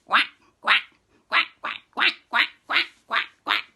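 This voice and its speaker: A woman's voice: on the high side, animated, takes it slowly, creaky, pushed and bright, breathy edge, voice pushed up, exaggerated voice. A woman voicing a noisy, flustered storybook duck, a quacking chatterbox.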